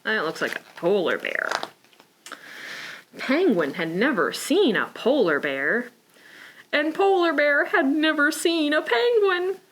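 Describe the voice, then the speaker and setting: A woman's voice: sits high, lively intonation, slow pace, fairly bright tone, a little hiss or hum. A woman hosting a preschool storytime, reading aloud and talking simply and encouragingly to small children. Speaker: library preschool storytime host.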